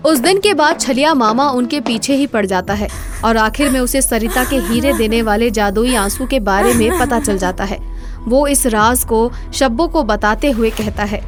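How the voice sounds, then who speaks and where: A woman's voice: a little high, normal speed, music in the background. A woman narrating a scripted moral story as the storyteller.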